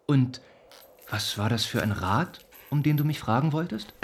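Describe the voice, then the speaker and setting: An actor playing a kind, earnest young gentleman in a radio play, speaking gently and formally. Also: medium pitch, lively intonation, normal speed, slight background noise, natural voice. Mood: tender, earnest. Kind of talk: German radio play acting. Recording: studio radio play with music underneath.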